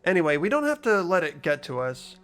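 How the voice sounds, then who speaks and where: A man's voice: a little high, sing-song, a little fast, fairly bright tone. A man voicing an earnest, hopeful teenage boy in a game playthrough, with a questioning manner. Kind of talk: voiced video game playthrough, dialogue and inner narration.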